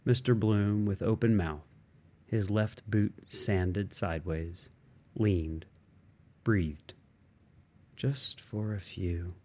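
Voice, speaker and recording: A middle-aged man reading literary fiction aloud in measured, fragmentary phrases with pauses between them. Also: lowish voice, steady tone, mellow. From United States. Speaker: audiobook reader. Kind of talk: literary narration, stream-of-consciousness reading.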